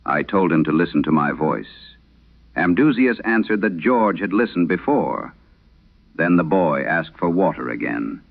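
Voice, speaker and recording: Man acting a deep, gravelly old exorcist priest. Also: sing-song, narrow, tinny audio, full character voice. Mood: grim, intense. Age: older. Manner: solemn, deliberate, dramatic. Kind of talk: scripted horror radio story narration.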